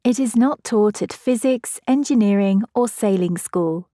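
A woman with a mellow, soft-edged voice reads a scripted science explainer in short, even clauses. The sound is dry and close, with no room around it. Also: medium pitch, measured pace, no echo. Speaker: narrator.

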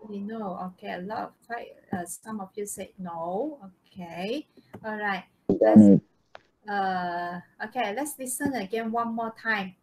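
A woman's voice: mid-range voice, measured pace, mellow, low-quality recording. A woman with a Malaysian accent teaching English conversationally on a video call, hesitant and checking in with students. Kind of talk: live online lesson, conversational.

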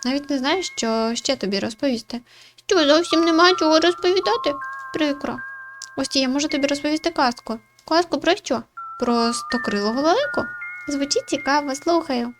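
A woman's voice: high voice, animated, normal speed, background noise. A woman giving casual, playful game commentary, reading dialogue aloud and adding muttered asides in a chatty way. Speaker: gaming video creator, player. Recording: music behind the voice.

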